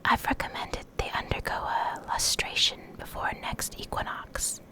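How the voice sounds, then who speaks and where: A woman reading in a soft, breathy whisper for ASMR, gentle and measured, close to the microphone. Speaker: ASMR creator, video host and reader. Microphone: close USB condenser microphone.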